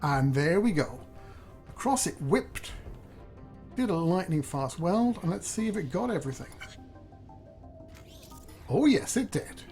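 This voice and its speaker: A slightly hoarse man, thinking aloud in chatty, self-deprecating gameplay commentary as host. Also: on the high side, big pitch swings, measured pace, long pauses, a little mellow, faint music behind. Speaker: let's play host.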